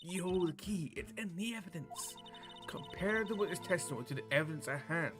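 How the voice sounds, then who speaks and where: A man doing a live let's play of a visual novel, reading dialogue aloud with casual, profane asides and excitable outbursts. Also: sits high, fairly quick. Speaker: game streamer. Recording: game music behind the voice. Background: video game music.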